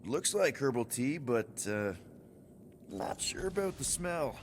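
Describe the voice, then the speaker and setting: A man's voice: mid-range voice, big pitch swings, takes it slowly, noisy background. A man playing an easygoing but exasperated young space-adventurer leader in a video game, casual and wry. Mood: friendly, curious.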